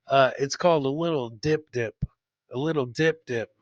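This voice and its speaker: A man's voice: mid-range voice, moderate pace, no echo, lo-fi sound. A man hosting a casual comedy clip show, chatting to his co-host and viewers and often repeating phrases for comic effect. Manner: chatty, addresses co-host and live viewers by name.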